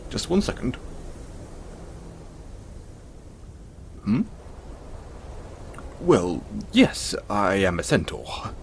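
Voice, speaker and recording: A man playing a gentle, caring, self-conscious centaur in an intimate audio roleplay, speaking in a soothing, hesitant, apologetic way. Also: lowish voice, wildly swooping pitch, slow pace, creaky, slight background noise, heavily acted. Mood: kind, reassuring.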